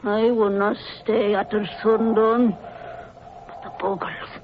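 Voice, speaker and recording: An actress playing a frightened, superstitious old Scottish housekeeper who talks in hushed tones, in a 1940s radio play. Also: female voice, mid-range voice, flat delivery, takes it slowly, natural voice. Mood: fearful. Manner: superstitious, hushed, dialect-heavy. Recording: dull old radio recording with hiss.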